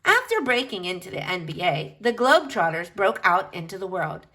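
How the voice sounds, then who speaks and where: A woman reading a children's book aloud in an expressive, emphatic way, drawing out key words for young listeners. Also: mid-range voice, moderate pace.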